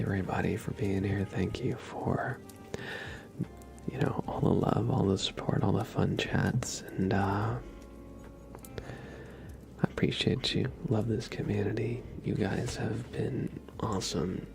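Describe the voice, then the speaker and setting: A man speaks in a soft, breathy whisper, as an ASMR host does when chatting to a live stream. Where his voice comes through it turns noticeably creaky. His delivery is gentle, casual and unhurried. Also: on the low side.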